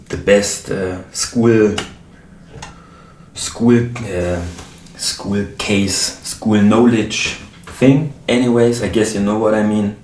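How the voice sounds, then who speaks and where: A low-voiced man with a German accent talks in a slow, unhurried way while he works. He often stops to hunt for English words. He sits well back from the mic in a roomy space with faint background noise. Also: a little mellow, far from the mic. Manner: halting, searching for English words. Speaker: modular synth hobbyist. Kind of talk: casual talk while assembling gear.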